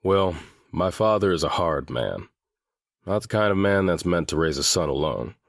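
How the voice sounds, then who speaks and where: A man playing a guarded seventeen-year-old boy in a full-cast audiobook, speaking in a deliberate, matter-of-fact way. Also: deep voice, lively intonation, creaky, no room sound, clean studio sound, heavily acted. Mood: somber, controlled. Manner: deliberate, matter-of-fact, swears now and then.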